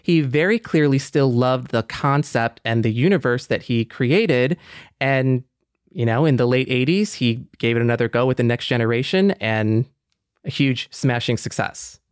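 A man with a mid-pitched voice speaks as a podcast co-host in casual discussion. The recording is dry and close, with no room sound to speak of. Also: normal speed, smooth, no room sound.

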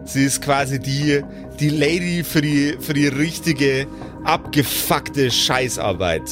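A man narrating an improvised tabletop game as game master, measured and pausing mid-sentence. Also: on the high side, over music.

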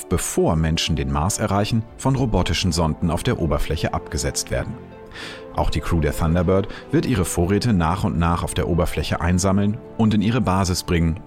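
A man with a very low voice narrates a science podcast script. His delivery is measured and explanatory, with faint music underneath. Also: a little mellow.

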